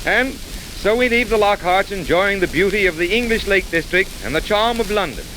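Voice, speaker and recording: A man's voice: sits high, animated, normal speed, background noise. A man delivering scripted narration as an announcer on an old-time radio show. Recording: old radio broadcast with music.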